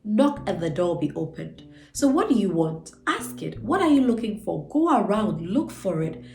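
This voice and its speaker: A woman's voice: medium pitch, big pitch swings, moderate pace, creaky, a little mellow. A woman hosting her channel, stuffy and nasal from a cold, talking earnestly and encouragingly about a book and stretching words out for emphasis. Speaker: channel host. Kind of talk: motivational book breakdown. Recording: echoey room with faint music.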